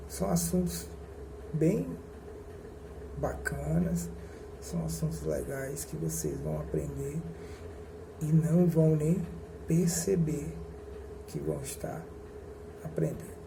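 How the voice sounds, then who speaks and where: Slow gravelly male teacher. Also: on the high side. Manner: slow, deliberate, explains with pauses and self-corrections. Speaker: history teacher. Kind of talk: recorded classroom lecture. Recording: noisy recording with ambient hum.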